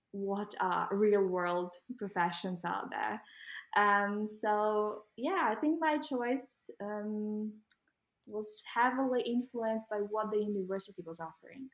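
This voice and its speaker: A young Ukrainian woman with a Ukrainian accent, answering interview questions casually with many pauses and ums. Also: medium pitch, echoey room. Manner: hesitant, many ums, laughs while talking. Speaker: guest.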